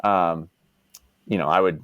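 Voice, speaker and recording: A man's voice: sits low, fairly even intonation, measured pace, fairly bright tone, dry recording, lo-fi sound. A young man from Dallas, chatting casually as a podcast guest, rambling and filling his talk with "like" and "you know". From Dallas, United States. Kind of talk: casual interview chat.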